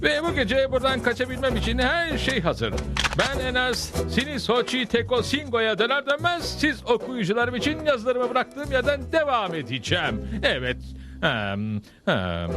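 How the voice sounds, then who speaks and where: Bir adam, çizgi film dublajında kendini önemseyen, ürkek, teatral küçük bir gazeteciyi seslendiriyor. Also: male voice, very high voice, big pitch swings, moderate pace, over music. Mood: self-pitying, determined. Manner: theatrical, self-pitying, stammering when threatened. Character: fussy, self-important, nervous little male gringo journalist captive. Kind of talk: cartoon dub acting.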